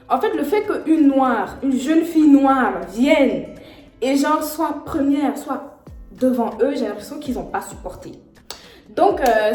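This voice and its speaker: A young woman tells a story in a slangy, animated way, her voice a little high. Her voice rings in an echoey room. Faint music plays underneath. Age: young adult. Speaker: vlogger. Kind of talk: storytime vlog.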